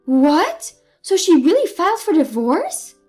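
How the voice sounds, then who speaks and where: A woman voicing an older, shrill mother-in-law who shrieks and scolds her son. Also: big pitch swings, measured pace, darkish tone, full character voice. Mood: furious.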